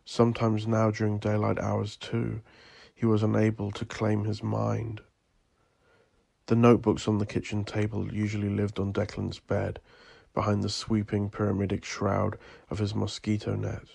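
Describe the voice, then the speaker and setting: Male horror narrator, low creaky voice, theatrical and ominous, deliberate phrasing, scripted dark fiction. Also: monotone, dry recording. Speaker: audio drama narrator.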